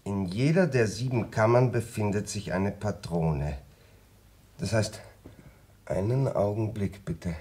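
A man playing a methodical middle-aged firearms expert in a German radio crime drama, speaking precisely and deliberately. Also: lowish voice, a little mellow. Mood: matter-of-fact.

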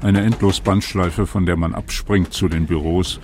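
A man's voice: low voice, fairly even intonation, normal speed, darkish tone. A man narrating a personal radio documentary in a reflective, wry, conversational way. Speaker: radio feature author and narrator.

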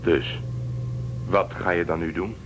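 A man's voice: low-pitched, fairly even intonation, unhurried, gravelly, noisy background. A man playing a stubborn, cautious ship commander in a radio play, firm and dismissive. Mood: uneasy.

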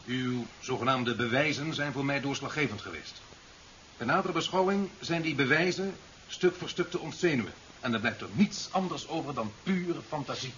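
A dull 1970s radio play recording with light hiss carries a man acting an authoritative president. He has a mid-pitched male voice and talks in a curt, cutting, formal way. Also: lively intonation, moderate pace, a bit bright, lightly acted. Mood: stern, impatient.

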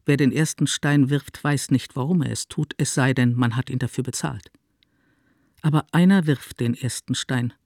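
A man's voice: fairly high pitch, darkish tone, dry recording, studio quality. A man narrating a literary radio drama in a scripted style.

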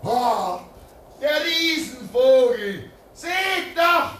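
A man's voice: extremely high pitch, very animated, drawn-out words, a bit bright, reverberant, recorded from a distance, slight background noise, heavily acted. A man acting an old, fatherly, solemn and exclamatory figure in a German radio play. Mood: excited, calling.

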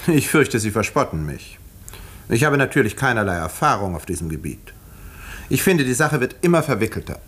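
An actor playing a composed, educated doctor in a 1950s German radio mystery, speaking in a measured, dry and precise way. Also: male voice, mid-range voice, big pitch swings, a bit bright, slightly rough. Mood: thoughtful, reserved. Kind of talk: 1950s German radio drama narration and dialogue.

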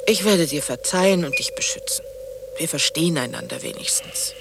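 An actress playing a bitter, sarcastic middle-aged ex-wife in a German radio play, theatrical and cutting. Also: female voice, deep voice, expressive, normal speed, over music. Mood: weary, pleading. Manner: sarcastic, mocking, dramatic.

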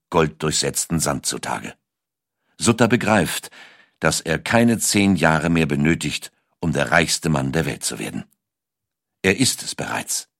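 Very deep-voiced male narrator, dry sound. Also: dry recording. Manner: measured, dramatic storytelling delivery. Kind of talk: scripted documentary narration.

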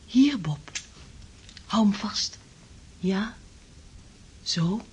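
Eerie tender temptress, very breathy, mid-pitched, soothing and insistent, radio play. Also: female voice, lively intonation, very slow, darkish tone, exaggerated voice. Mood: eerily tender. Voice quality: breathy, often whispering. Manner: soothing, coaxing. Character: ghostly coaxing woman, tender and eerily insistent. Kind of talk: radio drama acting.

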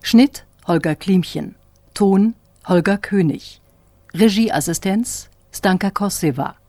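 A woman announcer reading scripted radio drama credits in a measured, matter-of-fact way. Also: medium pitch, mellow, dry recording.

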